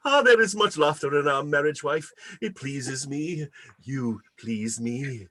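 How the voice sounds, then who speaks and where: A man playing a jolly, forgiving young nephew in a radio play, theatrical and hearty, with a husky voice. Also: on the high side, measured pace, pushed and bright. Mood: affectionate, merry. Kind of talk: radio play acting, live reading.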